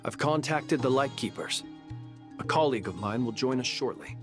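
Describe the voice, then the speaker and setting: An actor playing a dependable, formal ally in a video game, measured and courteous, with music underneath. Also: male voice, mid-range voice, lively intonation, lightly acted. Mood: resolute.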